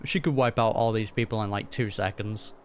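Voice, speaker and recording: A man's voice: lowish voice, steady tone, brisk. Casual, slangy man reacting to a game. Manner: slangy, wry. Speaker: gaming reaction host. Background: game music.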